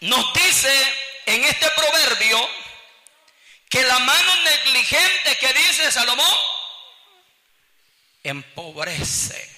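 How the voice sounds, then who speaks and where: A man's voice: very high-pitched, very animated, drawn-out words, pauses often, sharp tone. A man with a Salvadoran accent preaching an evangelical sermon in a colloquial, exhorting way, often putting questions to his listeners. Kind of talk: impassioned church sermon with scripture teaching. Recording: long hall reverb.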